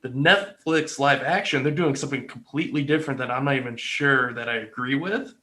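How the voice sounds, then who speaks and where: Bright, punchy-voiced man in casual debate. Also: medium pitch, brisk. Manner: emphatic, opinionated. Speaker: podcast panelist. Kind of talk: casual panel discussion about movies.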